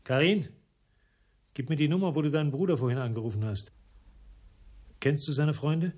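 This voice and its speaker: An actor playing a tough, defiant investigator in a radio crime drama, curt, commanding and unflinching. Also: male voice, fairly high pitch, moderate pace, a little mellow, breathy edge. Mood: urgent. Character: tough, defiant male investigator confronting gangsters.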